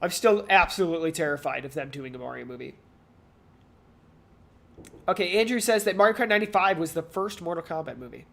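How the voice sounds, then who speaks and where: A podcast co-host talks in a casual, off-the-cuff way. The delivery is hesitant and rambling, circling back over words, at an ordinary middle pitch. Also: animated, brisk, vocal fry, pauses often, a bit bright. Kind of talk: casual pop culture discussion.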